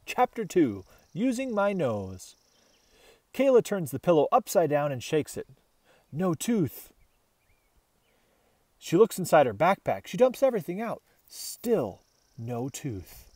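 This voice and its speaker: A man reading a children's storybook aloud with expressive, dramatic narration. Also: fairly high pitch, slow pace, long pauses, no echo. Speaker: storybook reader.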